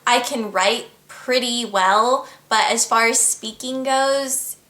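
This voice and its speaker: A woman's voice: a little high, slow pace, pushed and bright, reverberant. A young American woman in her twenties, chatting casually to camera as she answers viewer questions, earnest and upbeat, with frequent laughs. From United States. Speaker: vlogger. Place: at home, indoors.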